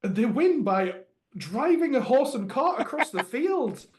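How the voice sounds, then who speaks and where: A man from Northern England, co-hosting a casual podcast chat, chatty and quick to laugh. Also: very high voice, big pitch swings. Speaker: podcast co-host.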